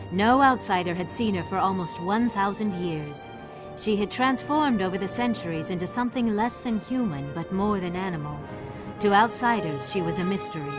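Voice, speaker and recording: A woman giving measured, solemn scripted narration for a fantasy game's story scenes. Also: mid-range voice.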